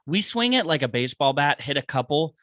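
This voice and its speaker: A man co-hosting a podcast in casual chat, animated and saying "bro" and "dude" a lot. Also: on the high side, a little fast, dry recording, clean studio sound. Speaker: podcast co-host.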